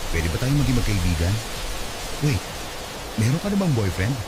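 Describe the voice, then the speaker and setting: A man's voice: on the low side, sing-song, moderate pace, heavy background noise. An actor playing an earnest, hesitant young man courting his crush in a Tagalog radio romance, with a Filipino accent. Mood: smitten, admiring. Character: earnest, smitten young male nurse, formerly shy nerd. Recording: loud music under the voice.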